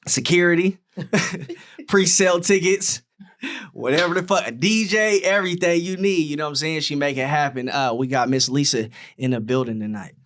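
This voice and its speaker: A man's voice: on the high side, normal speed, a bit bright. A man hosting a casual podcast interview, talking in a laid-back, slangy way with lots of "you know what I'm saying" fillers. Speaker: podcast host.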